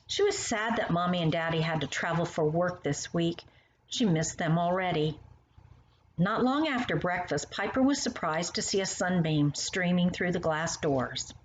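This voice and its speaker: A woman reading poems and stories aloud to young children in an expressive, animated manner, speaking directly to the listener. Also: fairly low pitch, moderate pace. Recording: roomy, muffled sound with faint noise.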